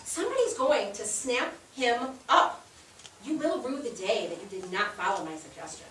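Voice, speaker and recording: An actress in a staged reading playing a bubbly, bossy 1860s society wife, gushing and insistent in a theatrical way. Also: female voice, on the high side, lively intonation, moderate pace, fairly bright tone. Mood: playful, insistent. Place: theatre stage, recorded from a distance. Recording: echoey stage, distant mic, faint noise.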